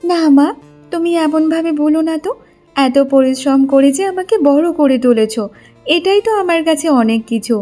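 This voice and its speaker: A woman's voice: high-pitched, normal speed, warm tone, faint music behind, close to an everyday voice. A woman voicing a dutiful, caring young village daughter in a cartoon story, speaking in an earnest, coaxing manner. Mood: pleading. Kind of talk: Bengali cartoon story dialogue.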